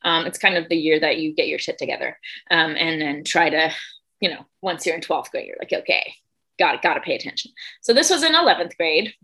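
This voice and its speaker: Casting: woman, teacher type, lower register, rambling and talkative, full of ums and restarts. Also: expressive, brisk, bright, forceful tone, husky, poor audio. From Germany. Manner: chatty, lots of fillers and self-corrections. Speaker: teacher, podcast guest. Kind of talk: casual storytelling.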